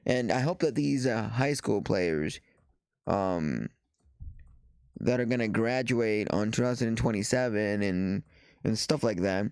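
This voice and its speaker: Stuffy-nosed man, halting sports chat. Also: fairly low pitch, animated, darkish tone, smooth, no echo, clean studio sound. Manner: halting, with frequent fillers and restarts. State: stuffy nose after the flu. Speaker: softball journalist hosting a show. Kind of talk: casual commentary and reading news aloud.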